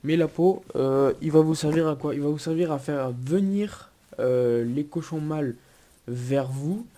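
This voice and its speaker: A man's voice: a little high, measured pace, dark tone, slight background noise. A young man with a cold, giving a casual gameplay tutorial with many hesitations and restarts. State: has a cold. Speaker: gaming YouTuber.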